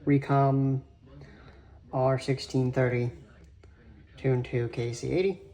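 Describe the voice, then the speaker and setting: A man giving an informal, halting show-and-tell narration as a radio hobbyist. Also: mid-range voice, level tone, mellow. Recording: clearly noisy with clicks. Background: weather radio playing.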